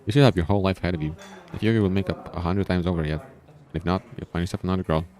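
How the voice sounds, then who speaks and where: A man's voice: low-pitched, fairly even intonation, racing, a little mellow, lightly acted. A man reading the part of a friendly, encouraging bartender in an easygoing, folksy way for a visual novel.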